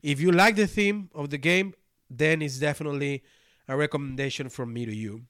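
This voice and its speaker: A man with a Spanish accent hosts a podcast and talks through a board game review, his first language being Spanish. His voice sits a bit higher than most men's, and he rambles, restarting phrases and filling pauses. The sound is dry and close, with no room around it. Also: fairly bright tone, no echo. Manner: rambling, many restarts and fillers. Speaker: podcast host.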